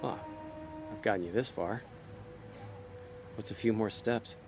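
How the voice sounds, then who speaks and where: A man's voice: lowish voice, unhurried, vocal fry, over music. An actor playing a laid-back, streetwise male con man in a noir audio drama, talking in a dry, easygoing, wisecracking way. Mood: wry.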